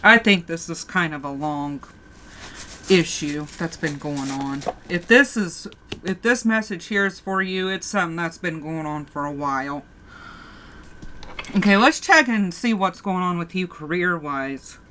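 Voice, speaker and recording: A woman's voice: lowish voice, lively intonation, measured pace, bright, forceful tone, a little hiss or hum. A woman with a sore throat giving a casual tarot reading, talking straight to her viewers and often restarting her sentences. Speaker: tarot reader.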